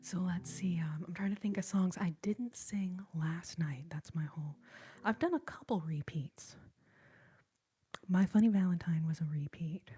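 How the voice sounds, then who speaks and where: A woman who sings on a live stream, whispering softly and playfully as she chats with her viewers. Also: fairly low pitch, normal speed, breathy edge.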